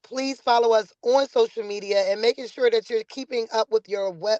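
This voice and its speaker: A woman's voice: fairly high pitch, normal speed, a little mellow, no room sound. Chatty woman hosting a webinar. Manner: enthusiastic and chatty, many "um"s, encouraging. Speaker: webinar host, organization director. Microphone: video call. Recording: dull, lo-fi video call audio.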